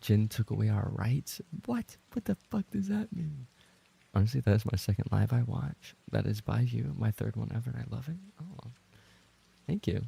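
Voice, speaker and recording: A man's voice: medium pitch, lively intonation, moderate pace, dark tone. A man chatting casually on a livestream, reading out viewer messages and reacting with playful mock indignation, swearing and repeating himself when flustered. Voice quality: gravelly, creaky. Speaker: livestream host and roleplay voice actor.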